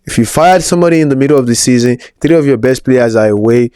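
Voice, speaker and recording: A man's voice: mid-range voice, quick pace, warm tone, smooth, no echo, studio quality. A man with a Nigerian accent co-hosting a casual football chat show, opinionated with rambling asides. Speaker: co-host.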